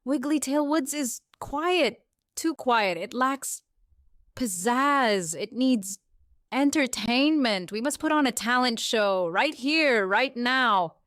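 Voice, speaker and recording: A woman's voice: fairly high pitch, lively intonation, slow pace, a bit bright, smooth, no room sound, clean studio sound, pitched above the performer's own voice. A woman voicing a bossy, theatrical female cat in a children's story, with grand, dramatic exclamations. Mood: commanding.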